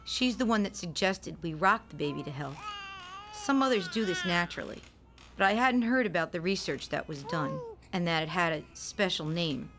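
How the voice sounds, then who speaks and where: An actress playing an anxious, weary, gentle mother of a premature newborn in a radio play, speaking earnestly and hesitantly. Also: lowish voice, animated, normal speed, background noise. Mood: earnest, explaining.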